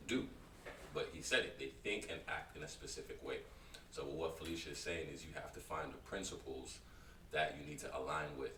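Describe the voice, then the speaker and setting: A man co-hosting a casual chat, giving advice in an encouraging way. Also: a bass voice. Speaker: co-host. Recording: echoey room from far off, faint noise.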